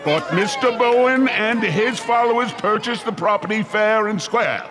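An actor playing a placating small-city mayor in a radio drama, halting and formal as he addresses citizens. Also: sits high, lightly acted. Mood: nervous, placating. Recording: noisy outdoor street scene.

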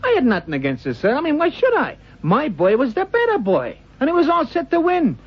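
An actor playing a nervous, pleading boxing manager with rough, protesting speech in an old radio drama. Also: male voice, very high-pitched, huge pitch swings, fairly quick, muffled recording, heavily acted. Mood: anxious protesting. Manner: protesting, rough colloquial grammar.

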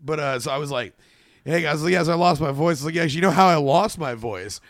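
A man's voice: fairly high pitch, fast, a bit bright, dry recording, clean studio sound. A man hosting a casual podcast, rambling with lots of restarts and swearing. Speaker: podcast host.

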